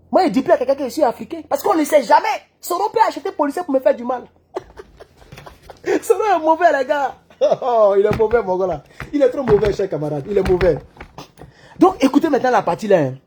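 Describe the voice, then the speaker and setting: A man giving an animated political monologue, acting out the dialogue in his stories. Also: very high-pitched, slightly rough. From Côte d'Ivoire. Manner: excitable storyteller who acts out dialogue. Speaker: online political commentator.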